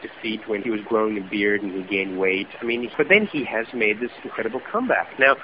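A man's voice: fairly low pitch, quick pace, dull audio, noisy background. A man whose first language is German, an author answering interview questions in a reflective, thinking-aloud way with frequent "you know" asides. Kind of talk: radio interview conversation.

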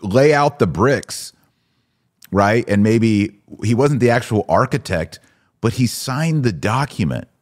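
Low-voiced man, emphatic podcast host. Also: expressive, takes it slowly. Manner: exhortatory, emphatic, rhetorical questions. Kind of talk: opinionated religious commentary.

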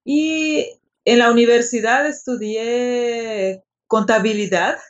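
A middle-aged woman with a Salvadoran accent, a language teacher answering interview questions hesitantly with soft pauses. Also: a little high. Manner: hesitant, laughs softly between answers. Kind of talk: conversational interview. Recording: dull, lo-fi audio.

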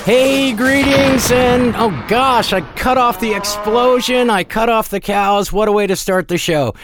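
A man hosting a music show with a hoarse voice, joking and self-deprecating in casual banter. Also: very high voice, a little slow, fairly bright tone, a little hiss or hum. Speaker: host.

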